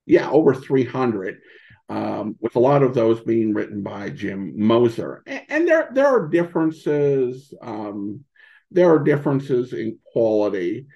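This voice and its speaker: A man in a casual interview as a podcast guest, talking haltingly and searching for his words. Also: medium pitch, very animated, creaky, highs cut off. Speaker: podcast guest, old-time radio podcaster.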